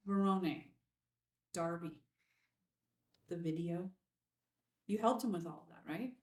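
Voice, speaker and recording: A woman's voice: fairly low pitch, slow pace. A woman playing a tough, curt newsroom boss in a film script table read, speaking in a clipped, challenging way. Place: room at a filmed table read. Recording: roomy, distant microphone.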